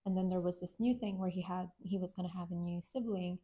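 A woman's voice: on the low side, steady tone, fast talker, warm tone. A young woman co-hosting a casual film review, explaining the story in a chatty way with fillers.

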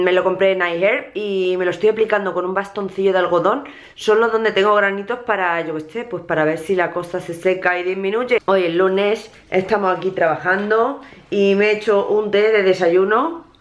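A woman's voice: mid-range voice, moderate pace. A young woman chatting casually to her viewers in a home vlog, filmed on a handheld camera at arm's length in a small apartment. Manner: chatty, expressive, chatty asides to viewers. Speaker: vlogger. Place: small apartment living room, indoors.

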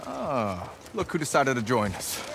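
A good-natured man leading survivor patrols in a game. His medium-pitched voice swings up and down as he gives clipped, informal orders. Clear outdoor ambience and animal sounds sit behind him. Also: wildly swooping pitch, a little slow, noisy background, exaggerated voice. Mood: wry, friendly. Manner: commanding yet informal, clipped instructions. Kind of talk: naturalistic video game acting.

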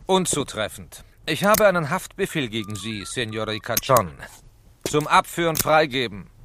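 Brisk police squad commander played by a man, medium pitch, terse formal military delivery, children's audio drama, faint noise behind. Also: sing-song, natural voice. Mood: commanding. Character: brisk, commanding male SWAT team chief.

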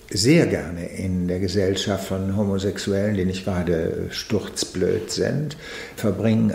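An older man reminisces in an interview with a low, gravelly voice. He speaks reflectively and haltingly, in an echoey room. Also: darkish tone. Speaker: interviewee, eyewitness. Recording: echoey room with faint noise.

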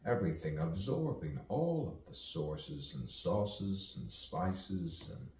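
A man performing a theatrical poetic soliloquy full of wordplay, musing dramatically and drawing his words out with long pauses. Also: deep voice, sing-song, a little mellow. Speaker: poet performing own monologue. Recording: roomy, distant mic, faint background noise.

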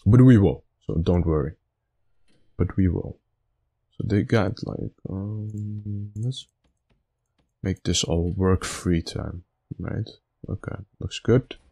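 A man with a slightly raspy, stuffed-up voice giving casual gameplay commentary, thinking aloud in a halting way. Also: low voice, mellow. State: stuffy nose after being sick. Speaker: gaming video host.

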